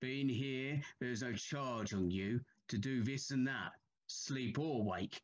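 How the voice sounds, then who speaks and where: A man playing a humble, haunted Victorian railway signalman in an audio play, speaking gravely and haltingly with deference. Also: mid-range voice, dull audio, natural voice. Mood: grave, ominous.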